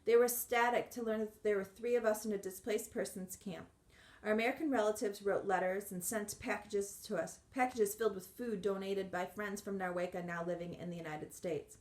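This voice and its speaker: Woman reading a memoir out loud, earnest and steady, mid pitch, kinda roomy echo. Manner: measured, earnest reading with occasional restarts. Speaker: audiobook reader.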